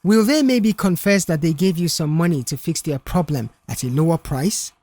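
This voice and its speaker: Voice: mid-range voice, darkish tone, no echo, natural voice. A narrator as a stern young male mechanic. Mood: stern, accusing. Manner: measured, accusing, firm.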